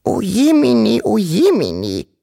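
A tiny, ancient granny creature from a children's storybook, acted out by a man, piping very high and squeaky. She wails and frets, and her pitch swoops wildly. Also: wildly swooping pitch, measured pace, vocal fry, mellow, husky, heavily acted. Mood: alarmed wailing. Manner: wailing, alarmed. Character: ancient, fretful little green-haired grandmother creature.

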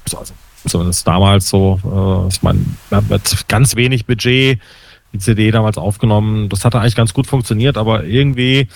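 A man in his fifties from Hesse gives casual answers as a musician in an interview. His voice is on the low side. He talks hesitantly, often searching for the right word. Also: creaky. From Hesse, Germany. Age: 50s. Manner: hesitant, halting, searching for words. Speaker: musician and songwriter being interviewed.

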